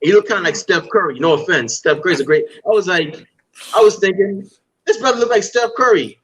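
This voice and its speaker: A young man from Guyana hosting a casual interview, animated and playful, talking in easy slang. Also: high-pitched, fairly quick, lo-fi sound, slight background noise. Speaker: podcast host.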